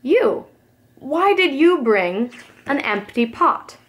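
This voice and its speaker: A woman voicing a stately storybook emperor with emphatic, deliberate proclamations. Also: sits high, big pitch swings, slight background noise, voice pushed up, heavily acted. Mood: questioning. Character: stately old male emperor, solemn then delighted.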